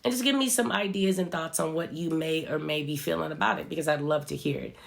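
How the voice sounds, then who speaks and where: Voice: medium pitch, fast, gravelly. A vlogger with a stuffy, congested voice, giving an earnest, reflective motivational talk straight to viewers. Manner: earnest, speaks straight to viewers, fillers like "right" and "you know". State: stuffy from a cold. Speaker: social media vlogger.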